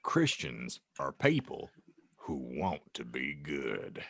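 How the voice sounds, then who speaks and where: A big, gentle, wise giant for a comic storybook reading. The voice sits very low and moves slowly. It sounds solemn and kindly, in a dry close recording. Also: male voice, huge pitch swings, creaky, husky, no room sound, exaggerated voice. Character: kind, wise, giant bearded man, calmly preaching.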